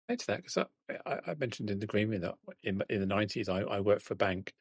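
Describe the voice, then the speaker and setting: A man hosting a podcast interview, relaxed and repeating words as he forms his thoughts. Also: on the low side, quick pace, gravelly, slightly rough, dry recording, clean studio sound. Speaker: podcast host. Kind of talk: interview conversation.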